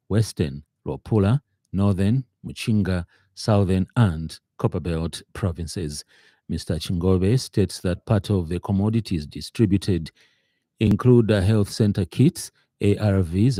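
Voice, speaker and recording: A very mellow, dark male voice reads the radio news in a Zambian accent. He sits low in pitch and delivers the script formally and with measure, in a dry studio sound. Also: no room sound.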